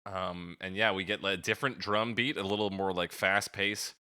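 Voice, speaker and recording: A man's voice: sits low, steady tone, normal speed, bright, forceful tone, clean tone, dry recording, clean studio sound. A man co-hosting a casual music review podcast, talking bluntly with swearing, joking and mimicked sounds. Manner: blunt, profane, jokey. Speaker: podcast co-host.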